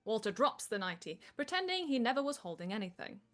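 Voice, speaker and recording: A woman's voice: fairly high pitch, very animated, fast talker, faint music behind. A woman narrator reading screenplay stage directions aloud.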